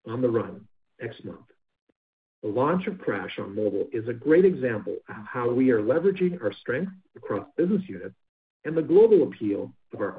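Man reading earnings remarks over a phone line. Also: medium pitch. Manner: steady scripted corporate reading. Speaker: company executive presenting results. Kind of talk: prepared remarks read on an earnings call. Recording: phone-line audio of a conference call.

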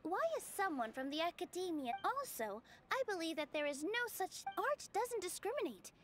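An actress playing a gentle, earnest young female performer in a video game, speaking politely. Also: very high-pitched, big pitch swings, normal speed, soft background music, heavily acted. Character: gentle, earnest young female theater dancer defending her art. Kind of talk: video game dialogue acting.

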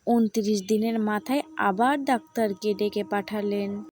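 A woman narrating a scripted storybook tale in a measured, deliberate manner. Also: mid-range voice, fairly even intonation, darkish tone, soft background music.

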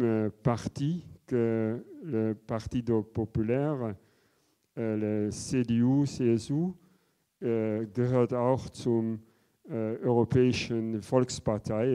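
An older Dutch man with a Dutch accent, asking a halting question from the audience of a conference, full of fillers and switching between languages. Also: medium pitch, fairly even intonation, dark tone, smooth. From Netherlands. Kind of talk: halting public question in a debate. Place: large conference hall. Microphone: handheld microphone.

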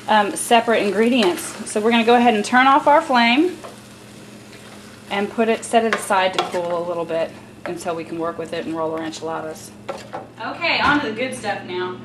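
A woman's voice: mid-range voice, fairly quick. A middle-aged American woman giving a casual, chatty cooking demonstration, talking straight to viewers as host. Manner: chatty, instructive, addresses viewers directly. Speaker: cooking show host and chef. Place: home-style kitchen at the stove, indoors. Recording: roomy, distant mic, loud kitchen noise. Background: sizzling pan, clattering pots and stirring.

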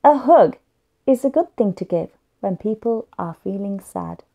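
A woman's voice: mid-range voice, lively intonation, unhurried, dark tone. A woman reading a picture book aloud expressively and carefully for young children. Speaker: children's story reader.

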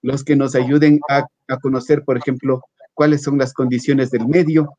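A middle-aged man explains like a scientist on a panel. He speaks haltingly, doubling back on words and tagging phrases with a question. The sound is a dry, slightly dull video-call recording. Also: mid-range voice, level tone, dark tone, no room sound. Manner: halting, repeats words, frequent questioning "¿no?" tags. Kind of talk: explanatory academic panel talk.

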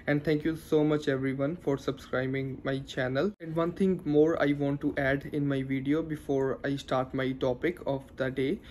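A man's voice: mid-range voice, steady tone, moderate pace, few pauses, darkish tone, a little hiss or hum. A young man with a Punjabi accent, chatting earnestly to camera in a casual vlog, with many filler sounds, recorded indoors on a small handheld mic. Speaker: vlogger. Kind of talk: casual talking-head vlog. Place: living room in daylight. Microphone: handheld lapel mic close to mouth.

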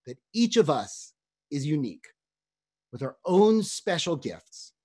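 Animated middle-aged man telling a story. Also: a little high, unhurried, husky. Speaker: rabbi. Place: room at home. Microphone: webcam on video call.

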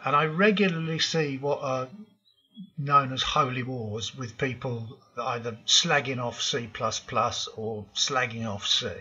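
An older man talks through his slides with blunt opinions and plenty of ums. His voice is bright and edgy but very husky. The voice-over sounds muffled and lo-fi. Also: medium pitch. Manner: opinionated, frank, with frequent ums. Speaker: programming tutorial host. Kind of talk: narrated slide lesson.

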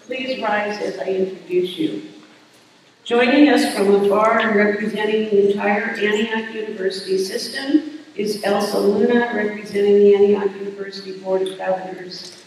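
A woman's voice: medium pitch, steady tone, normal speed, a little hiss or hum. An older woman, a university president, giving formal commencement remarks from a podium with light self-deprecating asides. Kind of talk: commencement address. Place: large hall, at a podium on stage. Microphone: podium microphone. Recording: echoey hall, heard from a distance.

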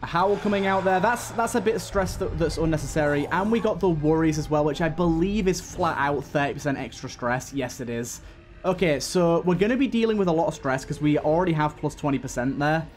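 A man's voice: on the high side, brisk. A man giving casual let's-play commentary, thinking aloud as he plays. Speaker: gaming video host.

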